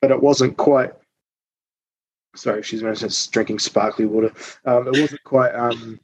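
A man, a musician guest telling tour stories in casual interview chat, relaxed and full of ums and the odd swear. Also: mid-range voice, a little slow, breathy edge. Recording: slightly dull dry recording.

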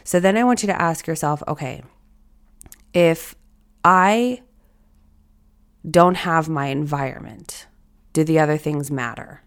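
A woman in her thirties hosting a solo podcast, her voice raspy and hoarse, talking in a reflective, encouraging way straight to her listeners. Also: low-pitched, a little slow, long pauses. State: hoarse from allergies or illness. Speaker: podcast host.

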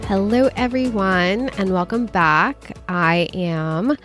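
A woman's voice: medium pitch, slow pace, loud music behind the voice. A woman hosting her podcast in a chatty, enthusiastic way, her voice a little nasal and scratchy as she recovers from illness. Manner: chatty and enthusiastic, with fillers like "you know". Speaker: podcast host and business coach. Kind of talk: casual solo podcast talk.

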